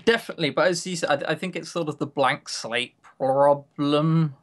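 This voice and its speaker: A man's voice: fairly high pitch, normal speed. A man chatting casually as a podcast co-host, giving opinions with wry, coarse humour. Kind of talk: casual chat reviewing games.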